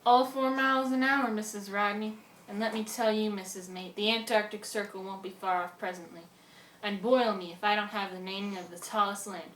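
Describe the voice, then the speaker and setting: A woman's voice: mid-range voice, steady tone, brisk, a bit bright, far from the mic, lightly acted. A woman playing a bold, boastful sea captain with declamatory nautical talk in an amateur radio play. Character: bold, boastful brig captain, weathered seafarer. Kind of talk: amateur radio drama acting. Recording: noisy, echoey room, off mic.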